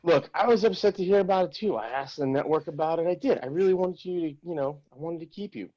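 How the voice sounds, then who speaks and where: A man's voice: on the high side, quick pace, warm tone, dull audio, natural voice. An actor reading a smug, balding male showrunner in his fifties at a table read, mocking and bullying.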